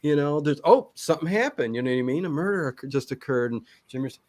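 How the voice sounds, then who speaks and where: A man's voice: fairly high pitch, animated, darkish tone, dry recording. Long-winded man chatting, close dry sound. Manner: long-winded, halting with many self-interruptions. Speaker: guest, online show creator. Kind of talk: casual interview chat.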